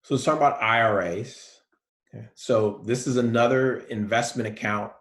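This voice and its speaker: A male college professor giving a relaxed, soft-spoken lecture online, with many fillers. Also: medium pitch, normal speed, echoey room.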